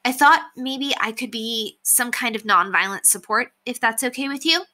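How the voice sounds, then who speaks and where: A woman's voice: a little high, normal speed, bright, forceful tone, pitched above the performer's own voice. A woman voicing an earnest, polite, determined young girl in a storybook, tentative but insistent.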